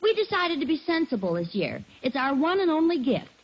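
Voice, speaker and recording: A sensible housewife in 1940s radio comedy, patient and reassuring. Her voice is high and lively, slightly muffled by the old broadcast recording. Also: fairly quick. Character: sensible, patient suburban wife and daughter. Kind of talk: 1940s radio sitcom acting.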